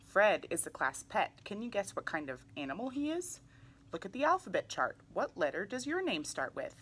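A young adult woman reading a children's picture book aloud in an animated way, putting questions to young listeners. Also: medium pitch, normal speed, slightly rough. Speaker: read-aloud storyteller for children. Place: small indoor room, seated in armchair.

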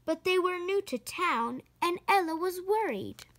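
A woman reading a children's storybook aloud in an expressive, deliberate narrating style. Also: very high voice, no room sound. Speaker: storybook reader.

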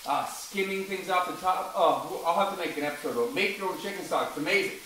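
A man's voice: high voice, fast talker, sharp tone. A man hosting a home cooking video in a kitchen, chatting through each step and talking to his toddler son, recorded from a camera some distance away. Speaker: home cooking show host. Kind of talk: casual cooking demonstration. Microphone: camera mic at a distance. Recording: very echoey kitchen with faint noise.

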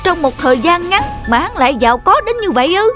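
A man's voice: very high-pitched, very animated, quick pace. A man playing a greedy, bullying middle-aged village master in a cartoon folk tale, with a scolding, scheming manner. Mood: envious, scheming. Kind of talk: animated folk tale acting. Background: music.

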